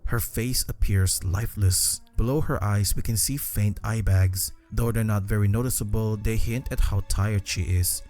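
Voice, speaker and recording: Mellow low-voiced man narrating. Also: fairly even intonation, moderate pace, few pauses, soft background music. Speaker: narrator of a video essay. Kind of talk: scripted narration.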